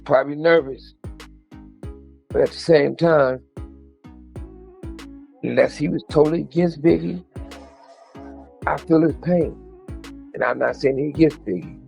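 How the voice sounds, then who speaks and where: A man's voice: mid-range voice, vocal fry, darkish tone. A man in casual interview talk, hesitant and circling back over his thoughts.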